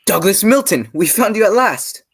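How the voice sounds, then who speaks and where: A man playing a hearty, cautious, avuncular English gentleman in a 1940s-style radio drama. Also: high voice, very animated, moderate pace, slightly rough, full character voice.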